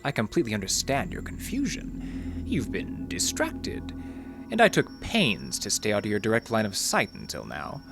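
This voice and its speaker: A man's voice: lowish voice, wildly swooping pitch, normal speed, creaky, full character voice. An actor playing a suave, ageless undead man in a radio horror drama, with formal, silky, taunting delivery. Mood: suave, menacing. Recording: loud music under the voice.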